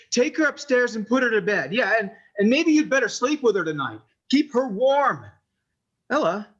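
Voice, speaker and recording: A man's voice: very high-pitched, a bit bright, husky, full character voice. An actor playing an unsuspecting, devoted husband in a radio horror play, a man with a folksy, solicitous manner. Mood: urgent concern, then alarmed. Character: unsuspecting husband, devoted and fussing over his mama. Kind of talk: old-time radio horror acting. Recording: noisy, dull lo-fi live audio.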